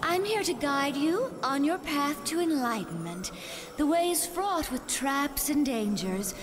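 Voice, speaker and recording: An actress playing a mystical inner guide in an animated comedy, lofty one moment and breezy the next. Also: female voice, high-pitched, a little slow, crowd noise. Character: mystical inner-being guide, female, airy and frank.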